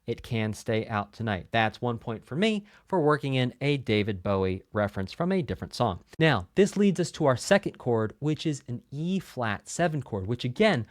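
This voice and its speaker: Male music teacher, medium pitch, conversational, emphatic, explanatory lesson delivery, dry studio-like sound. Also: dry recording. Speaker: music teacher and video host.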